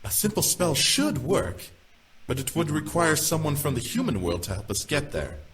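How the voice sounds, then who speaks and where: An actor playing a reasoning demon nobleman in a visual novel, measured and persuasive. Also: fairly high pitch, huge pitch swings, a bit bright. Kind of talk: visual novel character acting.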